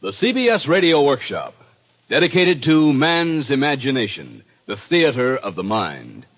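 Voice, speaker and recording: Animated 1950s radio announcer. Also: male voice, mid-range voice, normal speed, heavily acted. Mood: announcing. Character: genial, whimsical male storyteller of a radio musical. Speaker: announcer and narrator. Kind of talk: 1950s radio scripted narration. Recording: dull 1950s radio recording, music behind.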